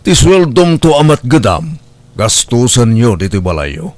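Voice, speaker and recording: A benevolent male employer played by a man talks in a medium-pitched voice with an Ilocano accent. He is concerned and reassuring, recorded close and dry for an audio drama. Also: very animated, a little slow, darkish tone, no room sound. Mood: generous.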